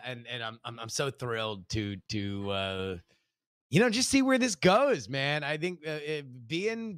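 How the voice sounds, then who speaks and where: An American man co-hosting a podcast in casual chat, halting often and circling back over his words. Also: fairly high pitch, fairly bright tone, no echo, clean studio sound. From United States. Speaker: podcast co-host.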